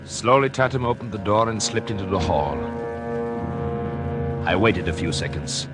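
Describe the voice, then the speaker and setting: Man to play cold-blooded American doctor narrating his crime, 1940s radio melodrama, highly animated delivery over music. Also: close to an everyday voice. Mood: tense, stealthy. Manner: melodramatic, intense, deliberate. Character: calculating murderous husband, a doctor, smug then horrified. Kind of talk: 1940s radio acting, first-person suspense narration.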